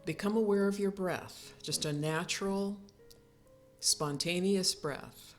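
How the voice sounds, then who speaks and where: A woman leading a guided yoga nidra relaxation as the instructor, speaking in calm, soothing, unhurried instructions with pauses. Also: lowish voice, soft background music.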